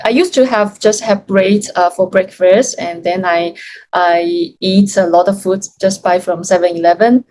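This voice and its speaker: A young woman from Yunnan, China, a native Chinese speaker talking in English as an interview guest, halting and full of restarts, with frequent laughs. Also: lowish voice, fairly even intonation, noisy background. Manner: hesitant, many restarts and fillers, laughs often. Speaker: guest, freelance Chinese teacher. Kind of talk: casual interview chat.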